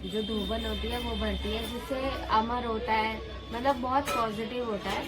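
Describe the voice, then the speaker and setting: A woman's voice: on the high side, steady tone, normal speed. A woman, the bride, casually explaining a wedding ritual on camera, a little shy. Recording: music behind the voice.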